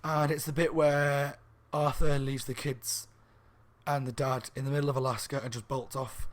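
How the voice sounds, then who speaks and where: A slightly hoarse man reviewing a book in a rambling, casual chat at home, with lots of ums and restarts. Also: mid-range voice, little pitch movement, moderate pace. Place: room at home by a window on a main street.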